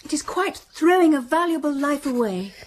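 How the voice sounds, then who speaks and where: An actress playing a spoiled but good-humoured young heiress in a radio drama, chattering frankly. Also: female voice, sits high, animated, normal speed, a little hiss or hum. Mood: gossipy. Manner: chattering, frank, lightly teasing.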